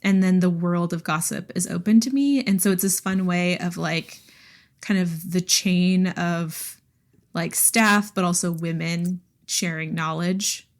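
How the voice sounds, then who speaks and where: A woman's voice: on the low side, fairly even intonation, a little slow. Chatty, enthusiastic woman podcast host. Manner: enthusiastic, frequent "like", laughs while talking. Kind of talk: casual book discussion.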